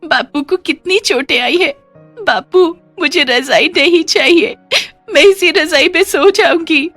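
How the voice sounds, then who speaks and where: A woman voicing an innocent, worried young daughter of a poor family in an animated story, in a plaintive childlike way. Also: high-pitched, measured pace, no room sound, faint music behind, pitched above the performer's own voice. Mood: tearful.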